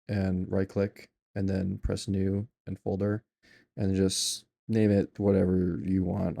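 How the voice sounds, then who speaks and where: A young man walking through a software install in a casual tutorial, rambling with lots of fillers and restarts. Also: fairly low pitch, creaky. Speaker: tutorial maker.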